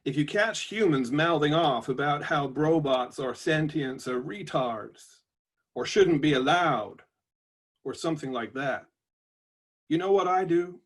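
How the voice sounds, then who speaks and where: A man voicing a reflective, wryly stoic robot labourer from a book, slowly and deliberately, with an American-style twang. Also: a little high. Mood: quietly stoic. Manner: slow, deliberate, pausing delivery. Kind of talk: book reading of aphoristic notebook entries.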